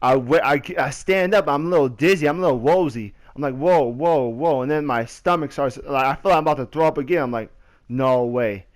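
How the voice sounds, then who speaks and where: A young man telling a story casually over gameplay, in a slangy, animated way with repeats and quotes of himself. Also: medium pitch, a little fast, no echo. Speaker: gaming video creator.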